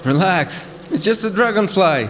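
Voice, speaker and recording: A man's voice: sits high, lively intonation, normal speed, noisy background. An actor playing a commanding male Russian official in an audio drama, curt and authoritative. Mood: firm.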